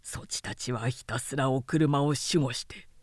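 A man's voice: mid-range voice, unhurried, dry recording, studio quality, voice pushed down, close to an everyday voice. A woman voicing a stern, loyal male general in a historical novel reading, with grave, archaic warrior speech. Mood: solemn, commanding.

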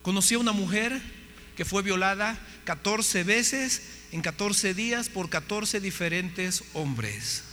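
A man's voice: sits high, expressive, takes it slowly, pushed and bright, long reverb tail, soft background music. A man preaching a testimony sermon, impassioned and often asking his listeners questions. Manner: impassioned, asks the audience questions. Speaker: missionary preacher.

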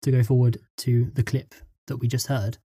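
Man, script writer guest, mellow tone, average pitch, halting casual explanations, dry sound. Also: level tone, slightly rough, no echo. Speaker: script writer being interviewed. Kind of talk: casual interview chat.

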